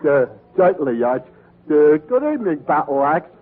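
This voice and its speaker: A man's voice: on the high side, big pitch swings, normal speed. An actor playing a dim-witted, bumbling, hesitant man in a vintage radio comedy. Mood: dopey, obliging. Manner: hesitant, dopey, starts with uh. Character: dim-witted, bumbling, lovestruck tavern regular. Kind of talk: 1940s radio comedy acting. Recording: vintage radio, narrow band, loud audience, hiss.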